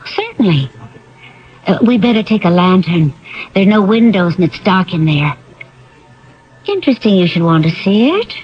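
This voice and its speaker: Self-assured young assistant played by a woman, knowing, helpful, animated mid-pitched voice, 1970s radio mystery, muffled broadcast sound. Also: normal speed, pauses often, faint music behind, natural voice. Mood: composed, intrigued. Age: young adult. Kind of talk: 1970s radio drama acting.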